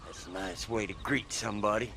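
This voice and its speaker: An actor playing a shifty old drunkard with a gravelly American Western voice, whining defensively in a video game cutscene. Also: male voice, lowish voice, fairly quick, sharp tone, slight background noise. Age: older. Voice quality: gravelly, creaky. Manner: defensive, wheedling. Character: whiny, shifty old male drunkard ranch hand.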